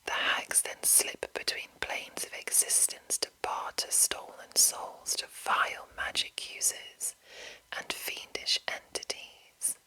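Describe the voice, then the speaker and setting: A woman whispering in a breathy, unvoiced voice as an ASMR host, reading deliberately with soft enunciation. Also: poor audio. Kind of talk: whispered ASMR reading of a game manual with casual asides.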